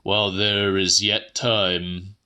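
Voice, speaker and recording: Man acting a slow, pompous old gentleman. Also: sits low, level tone, smooth, full character voice. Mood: urgent. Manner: ponderous, formal, grave. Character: pompous, solemn old man, ailing but insistent. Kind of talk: dramatic audiobook reading.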